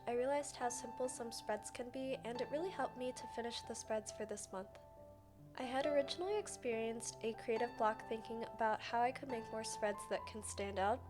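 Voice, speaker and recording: A young woman with a fairly high voice narrates a craft video in a chatty, scripted voice-over. She sounds like an online creator talking viewers through a project. Music plays clearly behind her. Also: a little mellow. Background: background music.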